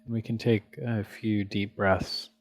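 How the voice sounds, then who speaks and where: Slow, low-voiced man guiding meditation. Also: level tone. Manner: gentle, unhurried, pausing often between phrases. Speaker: meditation teacher. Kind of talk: guided meditation.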